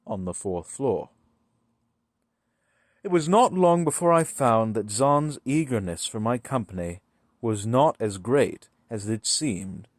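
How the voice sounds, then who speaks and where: A man reads classic horror fiction aloud at a slow pace. His phrasing is deliberate and dramatic, broken by measured pauses. His mid-pitched voice sits in a dry space with very little room sound. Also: darkish tone, no room sound. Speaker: amateur audiobook reader. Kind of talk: dramatic literary horror story narration.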